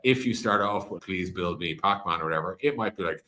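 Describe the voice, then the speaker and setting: A man's voice: deep voice, a little fast, sharp tone. A man speaking as a podcast guest in a conversational tech interview, explaining animatedly with analogies and quoted examples.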